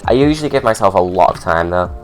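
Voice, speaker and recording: Young man, low voice, blocked-up cold, sarcastic chatty vlogger; light music bed. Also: expressive, quick pace. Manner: chatty, sarcastic, ranting asides. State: stuffy head cold. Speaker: drag makeup vlogger. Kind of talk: casual chatty makeup tutorial.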